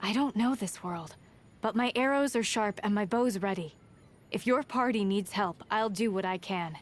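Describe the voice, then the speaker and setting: An actress playing a guarded, resolute young huntress in a video game, plain-spoken and understated. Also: female voice, fairly high pitch, lightly acted. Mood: matter-of-fact. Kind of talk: video game character voice lines.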